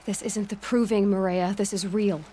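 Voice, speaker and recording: An actress playing a determined young huntress who speaks in a direct, practical and sceptical way. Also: female voice, medium pitch, steady tone, normal speed, mellow, a little hiss or hum, close to an everyday voice.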